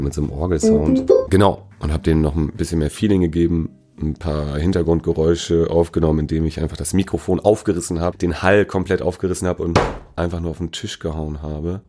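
A man's voice: very deep voice, fairly even intonation, fast talker, loud music behind the voice. A man working as a musician answers interview questions in a casual, slangy, joking and teasing way. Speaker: musician and sound designer being interviewed.

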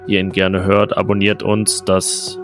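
A man's voice: sits low, monotone, measured pace, over music. A man hosting a casual football podcast, chatty with frequent fillers. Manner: conversational, filler-heavy. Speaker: podcast host, sports reporter.

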